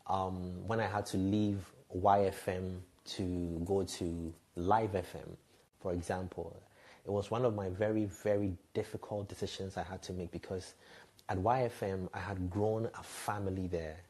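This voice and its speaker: Man, 30s, low warm voice; reflective, frank guest telling stories. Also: steady tone, moderate pace. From Ghana. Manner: reflective, frank, laughs lightly at himself. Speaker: podcast guest, radio and TV presenter. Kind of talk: candid conversational storytelling.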